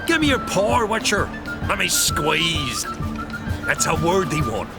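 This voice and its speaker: A high-pitched, swaggering brawler jarl. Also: male voice, very animated, a little slow, full character voice. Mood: hearty, boisterous. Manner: blunt, taunting, folksy dialect. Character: brash, boisterous island jarl, foul-mouthed brawler. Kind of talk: video game character acting. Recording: loud music underneath.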